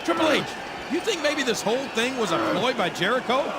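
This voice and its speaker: A man playing an excited play-by-play wrestling commentator in a video game. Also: very high-pitched, fairly quick, very noisy. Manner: excited calling. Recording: loud arena crowd and music.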